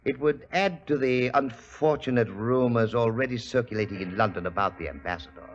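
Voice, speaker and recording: An actor playing an imperious, wealthy industrialist in an old radio mystery, speaking formally with coldly courteous menace. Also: male voice, medium pitch, a little fast, close to an everyday voice. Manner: smooth-talking, formal, menacing. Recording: dull, hissy 1940s radio recording.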